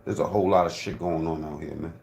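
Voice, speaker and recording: A man's voice: sits low, steady tone, fast talker, vocal fry. A man giving casual, joking reaction commentary on a video, loose and profane, often repeating himself. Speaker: reaction streamer. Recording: roomy echo, faint noise.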